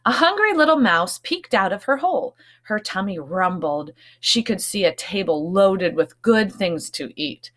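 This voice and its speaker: Animated woman teacher reading aloud. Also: medium pitch, measured pace, few pauses. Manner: expressive, explanatory asides. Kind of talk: storybook read-aloud for young children.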